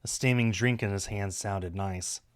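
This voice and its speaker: A man's voice: fairly low pitch, steady tone, unhurried, clean tone. A man reading a visual novel aloud on a stream, narrating the story with casual asides.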